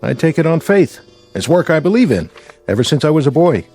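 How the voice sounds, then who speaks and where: Lively, wry astronaut commander. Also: male voice, a little high, a little fast, faint music behind. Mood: respectful, probing. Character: confident, wry, dedicated veteran male astronaut commander. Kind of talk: naturalistic radio drama acting.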